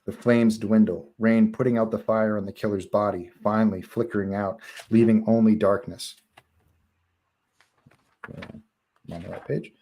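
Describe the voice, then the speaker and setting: A man's voice: lowish voice, steady tone, normal speed, pauses often, warm tone, rough recording. A man reading stage directions as narrator in a live table read of a horror screenplay.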